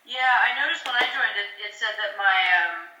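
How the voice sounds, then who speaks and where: A woman's voice: medium pitch, moderate pace, sharp tone, a little hiss or hum. A woman chatting casually and playfully as a fellow teacher on a video call, with a theatrical manner. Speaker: teacher co-hosting an online class. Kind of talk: casual chat. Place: at home. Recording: dull, echoey, distant video-call audio.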